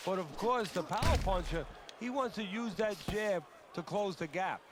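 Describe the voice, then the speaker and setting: A man's voice: sits high, expressive, normal speed. A man playing an opinionated boxing analyst, explaining tactics in an insistent, conversational way. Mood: explanatory. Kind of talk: sports TV color commentary. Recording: loud arena noise behind.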